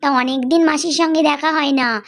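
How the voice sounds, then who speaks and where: A very high-voiced young village woman in a cartoon , acted by a woman. She is friendly and chatty, insistent and a little hurried. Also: fairly even intonation, fairly bright tone. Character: friendly, hurried young village niece-in-law bringing invitations. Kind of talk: cartoon family drama acting.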